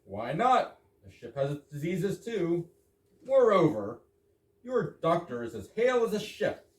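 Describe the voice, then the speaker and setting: A man's voice: high-pitched, very animated, measured pace. A man playing a fussy, pompous, absurdly opinionated English husband in a staged reading of an absurdist comedy. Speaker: amateur actor in a readers theater. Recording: roomy, distant, noisy live stage recording.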